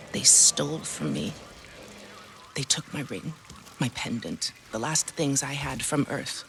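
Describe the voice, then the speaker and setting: A woman's voice: low voice, bright, forceful tone, slight background noise, heavily acted. A woman playing a sweet-talking, cunning old widow with a doting, theatrical manner in a video game. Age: older. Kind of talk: video game cutscene acting.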